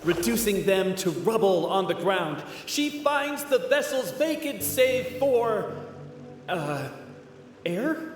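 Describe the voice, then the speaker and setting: An actor playing a grandiose poet, declaiming heroic verse in a game. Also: male voice, high-pitched, sing-song, slow, full character voice. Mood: solemn, dramatic. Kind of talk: theatrical verse recitation in a game. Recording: distant echoey hall, noisy.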